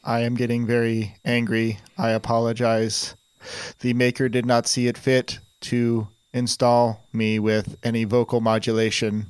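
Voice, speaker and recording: A man playing a resentful, pompous robot that speaks in a flat monotone with stiff, formal phrasing. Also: medium pitch, a little slow, clear, resonant tone, dry recording, lightly acted. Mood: subdued anger. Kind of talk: improvised comedy sketch.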